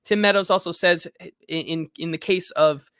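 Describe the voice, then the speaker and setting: A man's voice: fairly high pitch, moderate pace, a bit bright, clean tone, no echo. A man producing a sports podcast, casually reading listener comments aloud.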